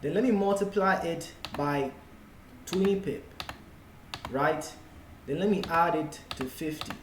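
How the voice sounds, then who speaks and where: Male trading tutor, Ghanaian accent, fairly high voice, unhurried and deliberate delivery, explaining off the cuff. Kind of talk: explanatory tutorial talk, unscripted. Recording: echoey room with faint background noise.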